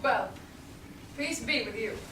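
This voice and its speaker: A woman's voice: a little high, lively intonation, slow. A woman playing a composed, clever lawyer in disguise in a Shakespearean stage reading. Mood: teasing, insistent. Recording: distant echoey small theatre, background noise.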